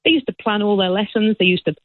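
A woman's voice: mid-range voice, fast talker, dry recording. A woman caller speaking in an emphatic, passionate way. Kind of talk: phone-in call. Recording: tinny phone line.

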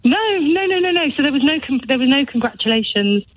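A woman's voice: high voice, animated, fast talker, no echo, phone-quality sound. A woman, a showbiz editor, chatting on radio in a gossipy way with many restarts. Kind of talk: casual radio chat.

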